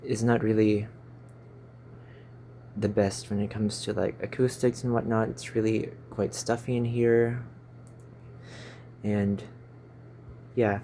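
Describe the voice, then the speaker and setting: Young Filipino-accented man, lowish warm voice, casual rambling delivery with verbal stumbles, phone-recorded. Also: level tone, slow pace, long pauses, a little hiss or hum. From Philippines. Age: 20s. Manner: stumbling, fumbling over words. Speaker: YouTuber. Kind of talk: casual rambling vlog chat. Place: small stuffy room.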